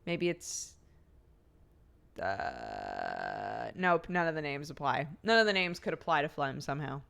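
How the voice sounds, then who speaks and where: A woman's voice: low-pitched, very animated, measured pace, gravelly, fairly bright tone. A woman streamer with a slightly phlegmy throat, chatting casually, laughing often and thanking subscribers one by one. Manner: giggly, laughs often between lines.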